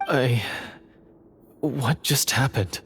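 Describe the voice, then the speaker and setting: An actor playing a bitter, troubled male hunter in a video game, with a halting, dramatic delivery. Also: medium pitch, a bit bright, slight background noise. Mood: dazed confusion. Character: bitter, troubled male hunter, last heir of his line. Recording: music behind the voice.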